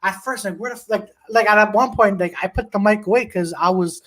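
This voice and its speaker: A man hosting a casual podcast chat over a video call, loud and rambling, swearing and restarting often. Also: sits high, brisk. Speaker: podcast host. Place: home room, video call.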